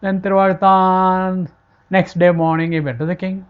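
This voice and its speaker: A woman with an Indian accent telling a folk tale in halting, repetitive, unscripted phrasing. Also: lowish voice, level tone, smooth, narrow, tinny audio. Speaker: storyteller.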